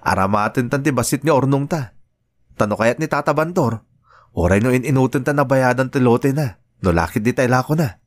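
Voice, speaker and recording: A man playing a young provincial husband, fond but quick-tempered, in a radio drama with an Ilocano accent. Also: medium pitch, expressive, dry recording, studio quality, close to an everyday voice. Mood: upbeat, tender.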